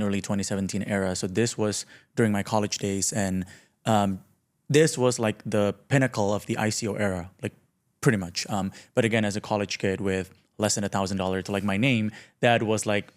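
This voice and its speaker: A man's voice: fairly low pitch, fairly quick. A man in his late twenties from Indonesia, talking casually and self-deprecatingly as a podcast guest in an informal, slangy way. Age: late 20s. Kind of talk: casual conversational interview.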